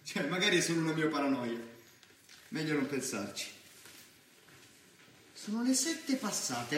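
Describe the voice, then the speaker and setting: A man's voice: high voice, sharp tone, slight background noise. Anxious teenage boy, very echoey. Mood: uneasy, brooding, then animated, teasing. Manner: emphatic, colloquial, swearing. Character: anxious, restless teenage boy, streetwise student narrating his evening. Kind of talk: theatrical monologue in colloquial Italian. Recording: echoey theatre stage from far off.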